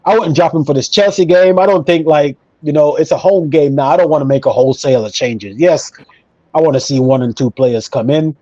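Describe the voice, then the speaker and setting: A man's voice: on the high side, fairly quick, mellow, dry recording, lo-fi sound. A man talking casually and emphatically as an opinionated football fan on a panel show, repeating himself for emphasis. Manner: emphatic, repetitive, conversational.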